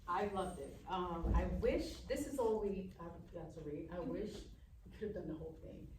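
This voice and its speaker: Low-voiced, halting woman in echoey room. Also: lively intonation. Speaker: actor giving feedback on a script. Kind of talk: casual panel discussion. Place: live event room at a film festival. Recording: echoey, distant, noisy room.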